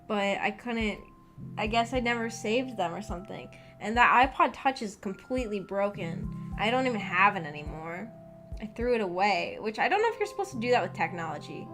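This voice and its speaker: A woman's voice: medium pitch, expressive, a little fast, a bit bright. A woman livestreamer rambling casually to her viewers in a hesitant, self-deprecating way, as the host. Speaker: livestreamer hosting a visual novel book club. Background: background music.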